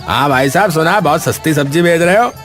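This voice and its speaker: A man's voice: a little high, animated, fairly quick, fairly bright tone, faint music behind. A man voicing a jealous, scheming village vegetable seller in a comic cartoon, with a blustery, colloquial way of talking. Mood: taunting.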